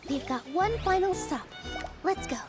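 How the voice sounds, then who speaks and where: A gentle young dancer talks in a very high, sweet voice. She is earnest and encouraging, a video game heroine with music behind her. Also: animated, a little slow. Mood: warm reassurance.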